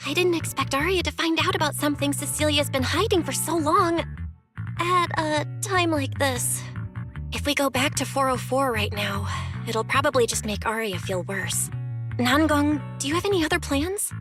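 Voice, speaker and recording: A woman's voice: sits high, normal speed. A woman voicing a friendly, earnest young female video store manager in an anime-style game, speaking expressively and conversationally. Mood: caring, encouraging. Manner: expressive, animated, conversational delivery. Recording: background music under the voice.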